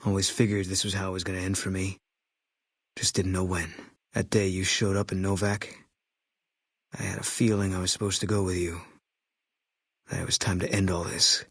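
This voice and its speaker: Casting: stoic, guilt-ridden military sniper in a video game; deep, raspy, terse, flat delivery. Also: male voice, moderate pace, pauses often, no echo, clean studio sound, heavily acted. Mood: grim, haunted. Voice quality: low, husky. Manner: deadpan, terse, clipped sentences. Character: terse, guilt-haunted ex-army sniper, grimly stoic man. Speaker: video game companion character.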